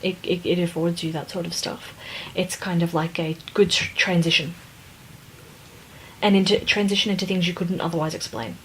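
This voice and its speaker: Woman podcast co-host, slightly low voice, hesitant and casual, faint background noise. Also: steady tone, normal speed, a bit bright, poor audio. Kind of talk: casual book discussion.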